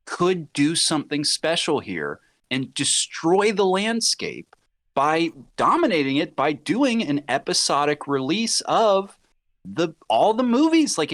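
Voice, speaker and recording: A man with a slightly high, very animated voice chats casually on a podcast about films. He riffs freely and drops into mock quotes. The sound is dry, with no room echo. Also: takes it slowly. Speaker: podcast guest.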